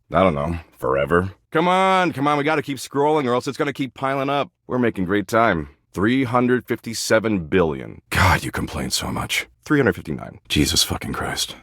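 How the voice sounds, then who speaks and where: Smug embodiment of dread, deep, very animated intonation, crude, snarky, boastful, video game dialogue, dry close recording. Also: male voice, no echo, exaggerated voice. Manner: snarky, dismissive, slangy, boastful. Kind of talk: dating sim character dialogue.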